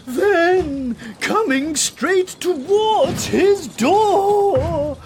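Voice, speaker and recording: A woman's voice: very high-pitched, lively intonation, extremely slow. A woman narrating a comic audiobook in a theatrical, mock-spooky way, drawing out her words.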